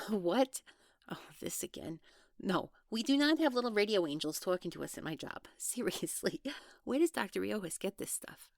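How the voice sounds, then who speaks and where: A woman acting in an audio roleplay as a defensive, anxious young woman who talks in a casual, halting, exasperated way. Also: medium pitch, animated, creaky, no room sound, clean studio sound. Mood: amused, puzzled.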